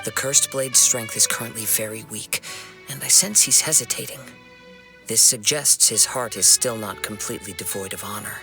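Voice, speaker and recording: A man voicing a calm, principled young wandering swordsman in a video game, with measured, earnest, formal delivery. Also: mid-range voice, close to an everyday voice. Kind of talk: dramatic video game dialogue. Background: music.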